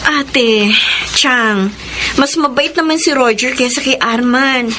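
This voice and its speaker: A woman's voice: sits high, slow pace. An actress with a Filipino accent playing an anxious, wounded, pleading young live-in girlfriend in a radio melodrama. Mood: defensive. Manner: emotional, pleading. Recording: clear background noise on broadcast.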